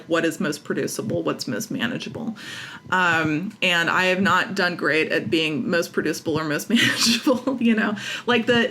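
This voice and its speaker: Chatty, self-deprecating woman with creaky voice. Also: lowish voice, a bit bright, a little hiss or hum. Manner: chatty, self-deprecating, giggles between phrases. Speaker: guest playwright and audio dramatist. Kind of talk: casual interview talk.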